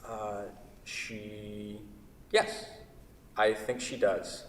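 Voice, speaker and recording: A man's voice: fairly low pitch, level tone, extremely slow. In a reverberant hall, away from the mic, a man acts an anguished craftsman devoted to what he has built. He is wordy and fretful and keeps amending his own phrases, in the style of a staged theatre reading. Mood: flustered, apologetic. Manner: wordy, self-correcting, fretful. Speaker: actor in a staged play reading. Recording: echoey hall, far from the mic.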